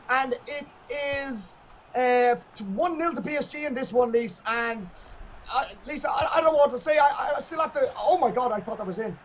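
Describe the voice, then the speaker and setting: A male esports commentator with a very high, strained voice calls the match at full tilt. His voice is going hoarse, and he erupts into shouts whenever a goal goes in. The audio is dull and lo-fi. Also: a little hiss or hum. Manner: excitable, shouting at goals. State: losing his voice. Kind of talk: play-by-play commentary.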